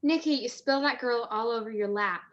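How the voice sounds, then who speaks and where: A woman plays a detective's witty wife in an old radio mystery. Her voice is on the high side, and she stays unflappable, droll and teasing. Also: a little fast, muffled recording, lightly acted. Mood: suspicious. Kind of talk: 1940s radio comedy-mystery acting.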